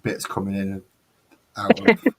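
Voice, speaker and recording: A man's voice: sits low, very animated, unhurried, crowd noise. A man with a Mancunian accent hosting a casual hobby chat, chatty and self-mocking with a grumbling streak. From northern England. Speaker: host.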